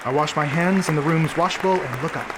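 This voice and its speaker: Higher-voiced man narrating over crowd ambience. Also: brisk, over music. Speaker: tabletop game player narrating in character. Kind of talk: first-person story narration. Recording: crowd ambience and faint noise.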